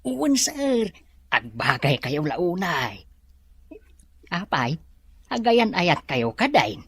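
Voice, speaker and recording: A woman's voice: lowish voice, very animated, slow, fairly bright tone, slightly rough, dry recording, full character voice. A woman with an Ilocano accent playing a deferential, inquisitive household helper in a radio drama. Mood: curious.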